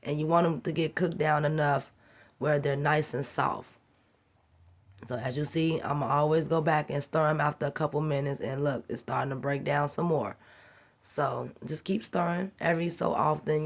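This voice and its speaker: A woman with a slightly raspy voice from a cold, chatting casually and encouragingly as she walks through an easy home cooking task. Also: low-pitched, steady tone, normal speed. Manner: chatty, rambling and encouraging, talks while working. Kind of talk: casual how-to cooking vlog.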